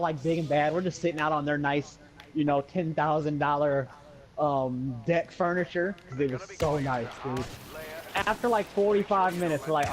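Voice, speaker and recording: An American man casually telling a personal story, acting out dialogue in slangy, sweary speech. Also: mid-range voice. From Muskegon, Michigan, USA. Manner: animated retelling with acted-out dialogue and slangy swearing.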